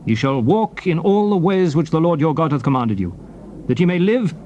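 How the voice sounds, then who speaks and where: A man giving a solemn, dramatized reading of King James scripture, declaiming it in deliberate phrases. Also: on the high side, big pitch swings, mellow, dull audio. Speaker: scripture reader. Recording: noisy old cassette tape recording.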